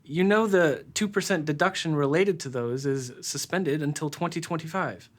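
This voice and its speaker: An actor playing a brisk, matter-of-fact male accountant who corrects and explains. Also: on the high side, natural voice.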